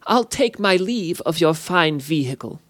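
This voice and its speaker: Cheeky winged fey imp, raspy, high, sly, wheedling, storybook voice. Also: male voice, lively intonation, measured pace, dry recording. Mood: mock apologetic. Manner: wheedling, falsely apologetic. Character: sly impish little male winged fey creature.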